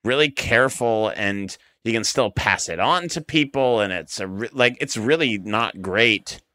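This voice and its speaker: A man in a casual podcast conversation as the comedian guest, joking and telling stories in an animated way. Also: lowish voice, a little slow.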